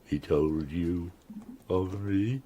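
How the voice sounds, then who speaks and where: An actor playing a huge, menacing, grieving man-made monster in a gothic radio drama, with a slow, gravelly, breathy delivery. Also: sits low, dark tone. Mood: wounded, brooding. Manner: slow, deliberate threats. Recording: noisy ambience behind voice.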